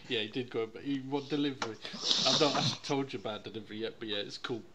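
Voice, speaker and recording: A man hosting a podcast in casual chat, relaxed and steering the show along. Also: mid-range voice, fast talker, background noise. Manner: relaxed, lots of fillers, runs the show. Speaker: podcast host.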